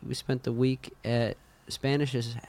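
A man chatting as a guest in an easygoing, friendly way, his voice a little hoarse from a recent cold. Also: mid-range voice, little pitch movement, darkish tone. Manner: easygoing, friendly, quick to laugh. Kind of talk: casual chat.

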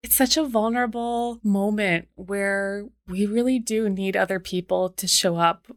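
A woman's voice: medium pitch, fairly even intonation, measured pace, no echo. A woman hosts the interview, posing prepared questions in a mid-range voice. She laughs along easily with her guest. The recording is dry and close. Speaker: host.

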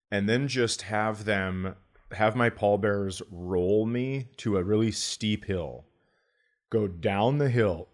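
A middle-aged man chatting casually as a comedy podcast host, telling jokey stories in a deadpan manner. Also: on the low side.

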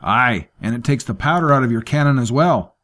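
A man's voice: mid-range voice, animated, fairly quick, fairly bright tone, voice pushed up, close to an everyday voice. A man voicing a taciturn male mercenary with a dry, wry manner.

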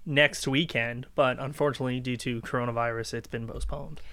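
A man's voice: medium pitch, steady tone, fairly quick, sharp tone, no room sound. A man chatting casually as a guest on a podcast. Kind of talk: casual chat. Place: inside a camper van studio.

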